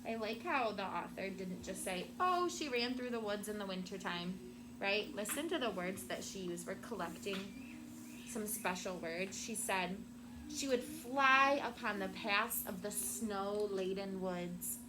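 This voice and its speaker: A woman's voice: mid-range voice, a little slow. Woman teacher reading aloud, noisy recording. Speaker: elementary school teacher. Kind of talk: storybook read-aloud with questions to children. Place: indoor classroom with children.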